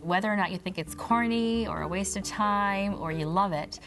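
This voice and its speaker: A woman's voice: mid-range voice, moderate pace. A woman, a club member, explaining things plainly in a casual interview.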